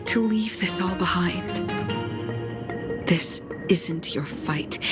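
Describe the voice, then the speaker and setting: An actress playing a calm, proud woman leader of a secret sect in a video game, with formal, measured speech over music. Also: on the low side. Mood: pleading, grave. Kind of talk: dramatic video game voice acting. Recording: loud background music under voice.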